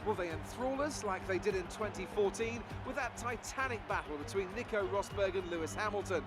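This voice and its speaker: An actor playing an in-game race commentator, speaking in polished, theatrical broadcast style. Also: male voice, high-pitched, fairly quick, natural voice. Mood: grand. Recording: game audio with loud music underneath.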